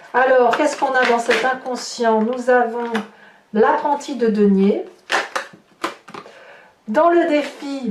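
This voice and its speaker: A woman hosting an informal tarot reading, addressing viewers and thinking aloud in chatty, hesitant asides. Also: fairly high pitch. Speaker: tarot reader, channel host. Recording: distant mic, roomy echo, noticeable hiss.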